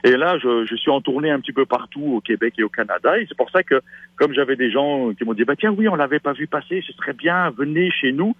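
Husky Belgian-accented man on muffled line. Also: medium pitch, fast, no echo. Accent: Belgian French. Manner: animated and chatty, warmly promotional. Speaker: stage actor, guest. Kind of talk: lively radio interview.

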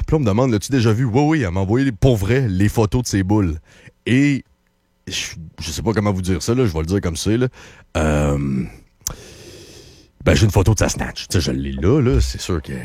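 A man's voice: mid-range voice, darkish tone, dry recording. A man with a Québécois French accent hosting a late-night call-in radio show, joking and laughing often between remarks. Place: radio studio. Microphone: studio microphone.

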